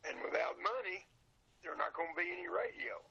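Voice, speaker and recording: An older man with a raspy, gravelly voice talking casually and plainly as an interview guest over a dull phone line. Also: high voice, a little fast, bright, forceful tone. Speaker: podcast guest, old-time radio collector.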